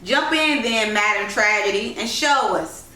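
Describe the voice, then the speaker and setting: A woman voicing a jovial gentleman motorist who teases, in a storybook reading. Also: medium pitch, measured pace. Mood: teasing. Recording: roomy, distant, noisy home recording.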